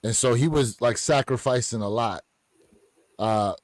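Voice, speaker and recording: A man's voice: medium pitch, measured pace, dry recording. An American man hosting a casual livestream chat in a conversational, slangy way. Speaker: podcast host.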